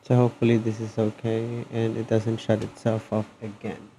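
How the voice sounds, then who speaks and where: A man's voice: on the low side, completely flat delivery, moderate pace, dark tone, a little hiss or hum, rough recording. A man hosting a game livestream, chatting casually with viewers. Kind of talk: casual stream chat.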